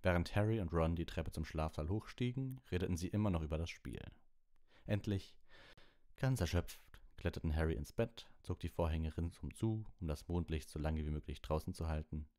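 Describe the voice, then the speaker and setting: A man's voice: low voice, moderate pace, warm tone. A man narrating a storybook as an audiobook reader.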